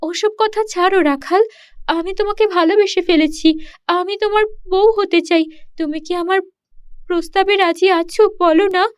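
A woman's voice: very high-pitched, fairly even intonation, moderate pace, dry recording, studio quality, voice pushed up. A woman voicing an eager, lovestruck young mermaid princess in a children's cartoon, pleading and coaxing sweetly.

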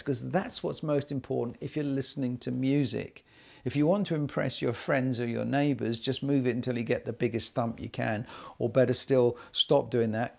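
A man's voice: medium pitch, gravelly, a little mellow. A man in his sixties giving informal, conversational advice as a presenter, explaining with emphasis and voicing imagined thoughts. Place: medium-sized living room with wooden floor, indoors.

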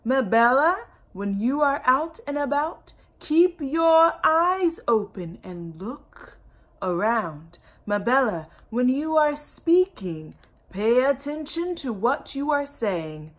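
Woman reading as an earnest, animated father mouse. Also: sits high, takes it slowly, voice pushed up, exaggerated voice. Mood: earnest, instructive. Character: wise, earnest father mouse advising his daughter. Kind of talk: children's storybook read-aloud.

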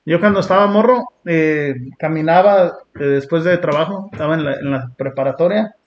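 Earnest podcast host guy, kinda high voice, muffled lo-fi sound. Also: moderate pace. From Long Beach, California. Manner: earnest, reflective, slangy and blunt. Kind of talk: casual reaction chat. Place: small dark indoor studio set, seated.

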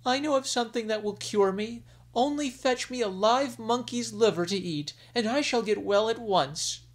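Man putting on a high, whimpering young dragon queen. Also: moderate pace. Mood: pleading. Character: tearful spoiled young female dragon queen.

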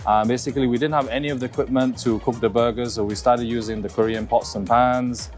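A man in his thirties from Hong Kong, with a mid-range voice, talks to camera in a relaxed, explanatory way. He is recorded on a lapel mic in a restaurant dining room, with faint music underneath. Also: medium pitch, fast talker. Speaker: restaurant co-founder and chef. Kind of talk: to-camera interview segment.

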